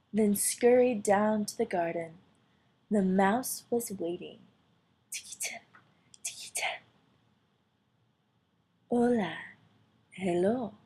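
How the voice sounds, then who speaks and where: A woman reading a children's storybook aloud as an expressive storyteller. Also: medium pitch, unhurried, breathy edge.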